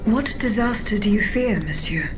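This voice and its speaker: A woman's voice: mid-range voice, expressive, lightly acted. Composed, dutiful automaton bodyguard played by a woman. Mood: calm, resolute. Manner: measured, formal, composed. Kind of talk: video game voice acting. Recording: game dialogue over loud music.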